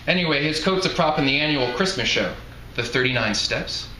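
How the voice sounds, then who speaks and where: A man's voice: mid-range voice, expressive, a little fast, lightly acted. Man reading as chatty old woman bartender, echoey. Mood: folksy, confiding. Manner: folksy, chatty. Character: chatty, blunt elderly woman bartender, retired. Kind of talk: novel reading aloud. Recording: echoey room, faint background noise.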